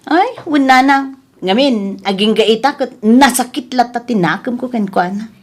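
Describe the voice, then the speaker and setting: A woman playing an indignant, hurt but firm wife and mother in a radio comedy drama, sharp-tongued, with an Ilocano accent. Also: a little high, expressive, moderate pace, close to an everyday voice.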